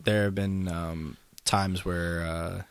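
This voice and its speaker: A man with a deep voice, a guest on a casual podcast, telling stories with many fillers and restarts. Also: slow, smooth. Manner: relaxed, rambling storytelling with many fillers. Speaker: guest DJ and artist.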